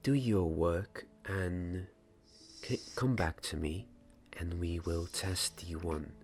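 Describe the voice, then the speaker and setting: Casting: young man as kindly fantasy wand maker and mentor, low soft breathy voice, unhurried hesitant delivery, ASMR roleplay. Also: faint music behind. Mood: calm, instructive. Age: young adult. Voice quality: soft, breathy, partly whispered. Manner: slow, hesitant, deliberate. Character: gentle, patient male wand maker mentoring an apprentice. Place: small dim indoor room. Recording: clear background noise and ambience.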